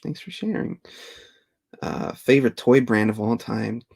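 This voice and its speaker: A man's voice: medium pitch, a little slow, gravelly, darkish tone. A man, hosting a live question-and-answer stream, chatting casually and chuckling as he reads viewer comments. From Belgium. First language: Dutch. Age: 30s. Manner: chatty, chuckles often, restarts words. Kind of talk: casual livestream chat reading viewer comments.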